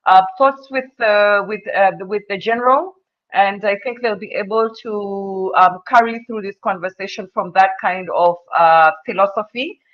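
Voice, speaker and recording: A woman's voice: mid-range voice, normal speed. A woman urging listeners to spread the word before a protest, in an urgent, rallying way with many fillers. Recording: dull lo-fi line.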